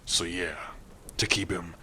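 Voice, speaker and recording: Gentle orc sweetheart, played by a man for ASMR roleplay: very low, unhurried, soft-spoken, gruff but shy and smitten. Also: level tone, slight background noise, full character voice. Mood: grave, wary. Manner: soft-spoken, hesitant, with pauses and hums. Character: gruff but tender young male orc, shyly smitten. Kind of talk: ASMR roleplay addressed to the listener.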